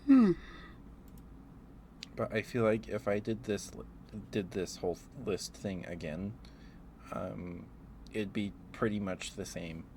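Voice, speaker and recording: A man with a very low voice rambles through a casual ASMR stream. He speaks slowly and hesitantly, circling around his words. Clear background noise sits behind him. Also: lively intonation, darkish tone.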